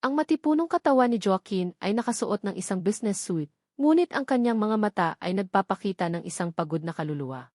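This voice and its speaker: A woman's voice: medium pitch, animated, fairly quick, darkish tone, no echo, studio quality. A woman reading scripted narration of a romance story as its narrator.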